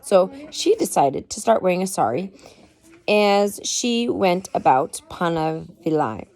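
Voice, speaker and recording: A woman with a slightly low, creaky voice reads aloud as a parent would to children. Her pitch moves in lively swings, and she stresses words dramatically, slipping easily into informal explanations. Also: unhurried, dry recording. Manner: expressive, with dramatic emphasis and explanatory asides. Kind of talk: read-aloud of a biography with informal asides.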